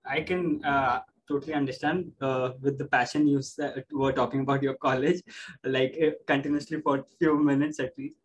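A male interviewer who asks rambling questions, halting with fillers. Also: medium pitch, fairly even intonation, poor audio.

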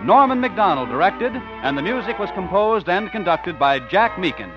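A male network announcer with a high, lively voice, reading credits and promos in crisp, formal cadence. The sound is dull and lo-fi, with music and a studio audience beneath him. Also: normal speed. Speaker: radio announcer. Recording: dull 1950s radio broadcast.

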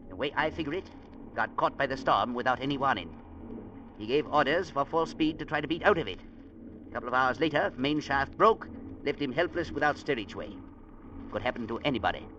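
Gruff, stubborn ship's captain, blunt and folksy, mid-pitched, old radio adventure style, heavy broadcast noise. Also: male voice, animated, bright, forceful tone, dull audio. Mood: firm, deliberate. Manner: blunt, salty, folksy speech with deliberate emphasis. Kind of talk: 1940s-50s radio drama acting.

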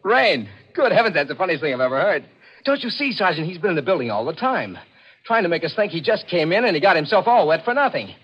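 A sharp, mocking American theater man in a vintage radio mystery. His voice is fairly high and very animated, over a dull recording with faint noise. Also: quick pace, breathy edge. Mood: indignant, accusing. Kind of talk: 1940s radio acting. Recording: muffled, slightly noisy old radio broadcast.

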